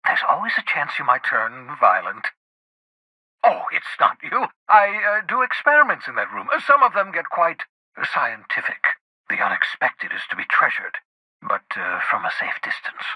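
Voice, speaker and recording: An actor voicing an eccentric, erudite older renegade scientist in a video game, jittery and sardonic, with theatrical, wordy, precise delivery. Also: male voice, fairly high pitch, wildly swooping pitch, moderate pace, pushed and bright, slightly rough, dry recording, full character voice, poor audio. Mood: flustered, eccentric. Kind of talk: video game character dialogue.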